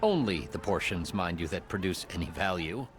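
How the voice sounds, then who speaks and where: An exasperated official in a video game. His voice sits fairly low and moves expressively, with formal, wry and articulate phrasing. Faint music plays behind him. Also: lively intonation, brisk. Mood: dry, explanatory. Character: exasperated male official opposing a troublesome rival. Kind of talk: scripted video game dialogue.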